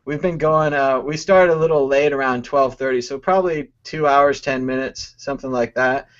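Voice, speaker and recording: A man's voice: medium pitch, moderate pace, muffled recording. Jokey male live-show host. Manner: jokey, laughs often. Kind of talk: casual live-stream chat.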